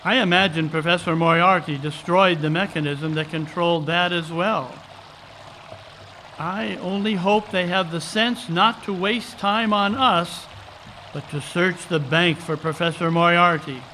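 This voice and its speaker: A man performs a keen detective in an old-time radio play. The voice is high for a man and forceful, with precise, formal phrasing. He sounds unflappable yet eager as he reasons, over faint noise in a live recording. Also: fairly even intonation, unhurried. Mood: urgent. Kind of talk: old-time radio drama acting, live stage reading. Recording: live conference recording, background water noise.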